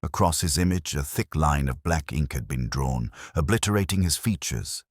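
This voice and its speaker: A middle-aged man reading a scripted first-person detective story in a measured, reflective, literary way. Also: rumbling low, husky, dry recording, studio quality. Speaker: audiobook-style narrator of a detective story.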